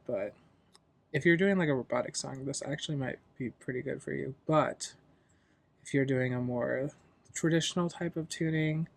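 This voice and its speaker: A man hosting a software tutorial in a casual, loosely explanatory, informal way. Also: medium pitch, vocal fry. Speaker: tutorial host.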